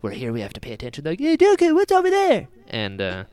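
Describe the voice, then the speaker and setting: Animated podcast host, casual chat, clear, swooping pitch, dry recording. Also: quick pace, no echo. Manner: animated, acts out imagined dialogue in quotes. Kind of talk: casual chat, pop-culture discussion.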